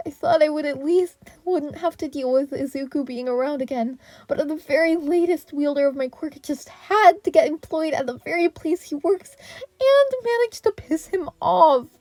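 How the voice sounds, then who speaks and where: Woman doing a whiny, high-pitched ghost boy. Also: moderate pace, darkish tone, no room sound, lightly acted. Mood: wailing. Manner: wailing, whining.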